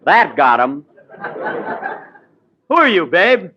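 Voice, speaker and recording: A man's voice: sits high, sing-song, slow pace, pushed and bright, dull audio. A man hosting a 1940s radio comedy with grumpy, put-upon wisecracks. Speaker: comedy show host. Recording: crackly 1940s radio broadcast.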